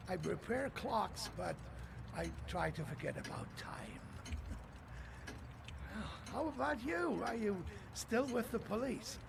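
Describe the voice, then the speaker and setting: A man playing a kindly, forgetful elderly clock repairer in a video game, with halting, courteous, old-fashioned speech. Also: high-pitched, background noise, heavily acted. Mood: wistful, friendly. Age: older. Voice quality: creaky. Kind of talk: video game dramatic acting.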